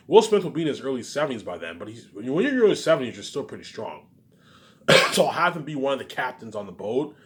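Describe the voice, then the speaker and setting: A man rambling in a casual monologue, repeating himself, jumping between loud bursts and mumbled trailing endings. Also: on the low side, a little hiss or hum. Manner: repetitive, keeps saying "man" and "obviously". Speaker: vlogger.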